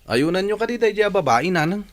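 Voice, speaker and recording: An actor playing an aggrieved, rejected young suitor who complains, in a radio drama. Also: male voice, high voice, lively intonation, brisk.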